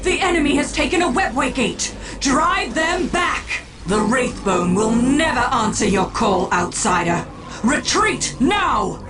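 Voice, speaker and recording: Solemn, imperious alien seer. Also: female voice, high-pitched, sing-song, a little slow. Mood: fierce, commanding. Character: proud, solemn female alien Eldar seer commanding her people. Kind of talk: dramatic video game acting. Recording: music bed underneath.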